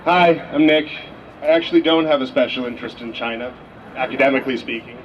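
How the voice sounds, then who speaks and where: A man's voice: medium pitch, flat delivery, fast. A young man, a student speaker, giving a public speech at a rally. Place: outdoor city street rally. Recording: dull outdoor recording, faint street noise.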